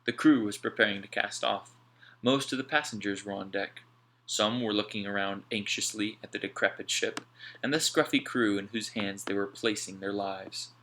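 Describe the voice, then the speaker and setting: A man reading an audiobook aloud in an expressive storytelling style. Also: lowish voice, moderate pace, a little hiss or hum. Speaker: audiobook reader.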